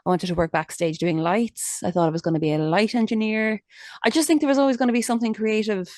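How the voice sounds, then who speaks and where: A woman's voice: mid-range voice, quick pace, a little mellow, dry recording, clean studio sound. A young adult Irish woman in a casual interview, talking candidly and chattily with lots of restarts and fillers. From Ireland. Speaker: interview guest, musician.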